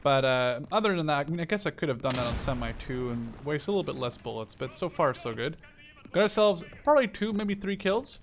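Male gamer, 20s, loose profane play-by-play, slightly high voice, gunfire noise behind. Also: lively intonation, a little fast. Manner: rambling, casually profane. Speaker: gaming video creator. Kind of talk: casual gameplay commentary. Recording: game gunfire and artillery noise. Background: shooter game gunfire and explosions.

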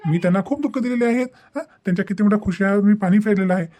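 A man's voice: high-pitched, very fast, a little mellow, close to an everyday voice. A man voicing a patient, devoted young husband in a cartoon family drama, coaxing and repeating himself. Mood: earnest, reassuring. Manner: coaxing, repetitive, tags phrases with "haan".

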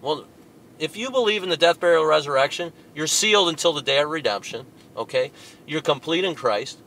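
A male preacher reads passages aloud and tears into them with emphatic, scolding force. His voice has a bright, forceful edge. He swings from loud outbursts to low, muttered asides over a faint background hiss. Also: lively intonation, brisk. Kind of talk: fiery sermon reading and rebutting a tract.

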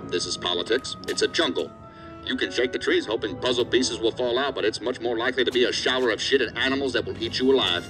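An actor playing an angry, stern assistant director in an audio drama, scolding and swearing. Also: male voice, medium pitch, fast talker, over music, close to an everyday voice. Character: angry, hard-bitten male assistant director of investigative agency.

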